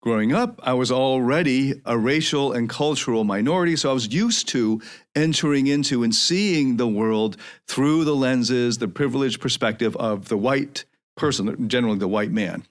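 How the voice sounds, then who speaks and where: A man's voice: medium pitch, moderate pace. A man in his forties from North America hosting a podcast alone, speaking in a reflective, earnest way and often restarting as he thinks aloud. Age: 40s. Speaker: podcast host. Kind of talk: solo podcast monologue.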